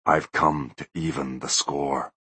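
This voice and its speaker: A man voicing an older male bodyguard who poses as a ghost, speaking slowly, quietly and ominously. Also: very deep voice, steady tone, slightly rough, no room sound, pitched below the performer's own voice, full character voice. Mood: menacing.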